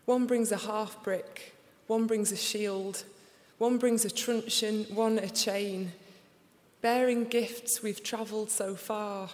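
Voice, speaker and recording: A young woman with a Sheffield accent reading her poems aloud in a slow, deliberate recital before an audience. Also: medium pitch, steady tone, a little mellow. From England. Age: young adult. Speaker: poet. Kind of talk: poetry reading. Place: large concert hall. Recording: live reading in a reverberant hall.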